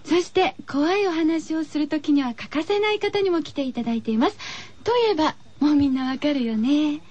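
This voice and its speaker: High-voiced, cutesy female radio host. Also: expressive, fairly quick, a little mellow. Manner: cutesy, playful phrasing. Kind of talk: radio presenting, chatty and scripted. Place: radio studio.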